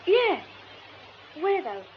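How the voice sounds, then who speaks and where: Very high-pitched young boy, cheeky, drowsy, radio drama, dull hissy recording. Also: sing-song, very slow, full character voice. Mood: groggy, cheeky.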